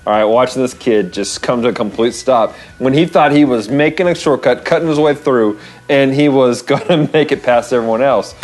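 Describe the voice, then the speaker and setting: An American man in his thirties hosting a vlog, casually telling a story with expressive emphasis and repeated phrases. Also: mid-range voice. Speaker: show host. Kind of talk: casual storytelling with devotional encouragement. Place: small wood-panelled room, indoors.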